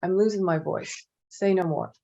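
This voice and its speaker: A woman's voice: fairly low pitch, moderate pace, vocal fry. A middle-aged woman telling casual stories on a panel from her home office, chatty, with many fillers. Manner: chatty, animated, many "you know" fillers. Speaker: panel presenter. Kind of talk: casual anecdotal storytelling. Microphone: video call. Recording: dull lo-fi video call audio.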